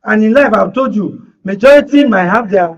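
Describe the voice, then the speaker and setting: High-pitched Nigerian man, emphatic debater. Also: moderate pace, distant mic, lo-fi sound. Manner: emphatic, repetitive, rhetorical. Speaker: studio guest political analyst. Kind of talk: heated political debate.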